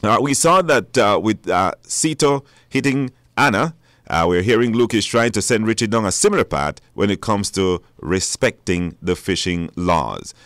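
A man's voice: lowish voice, animated, normal speed, fairly bright tone, dry recording, clean studio sound. A man hosting a live radio show, announcing informally with hesitations and repetition. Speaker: radio talk show host. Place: dry radio studio.

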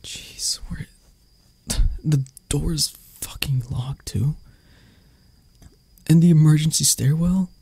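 A man playing an arrogant, grumpy CEO with a hidden anxious side in an ASMR roleplay, curt and sardonic, with a breathy, gravelly voice. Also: a little high, takes it slowly, long pauses, dark tone, no room sound, full character voice. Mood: panicky. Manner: curt, sardonic, stammering when flustered. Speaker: voice actor. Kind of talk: ASMR roleplay audio drama.

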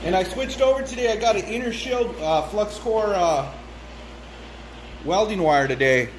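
A middle-aged man in a closed home garage, rambling and halting through a casual welding demonstration, filmed on a phone set on the bench. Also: high voice, animated, low-quality recording. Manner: rambling, halting with many fillers. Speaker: hobby welder vlogger. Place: closed home garage workshop with air conditioning running. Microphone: phone camera set on the bench, sometimes far off. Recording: faint air conditioner hum in a garage.

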